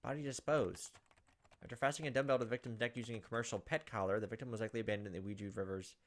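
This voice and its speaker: Young adult man, medium pitch, game narration, casual commentary. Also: fairly even intonation, rapid-fire. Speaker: let's play host. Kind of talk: reading visual novel text aloud with casual commentary. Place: indoors at a computer, webcam.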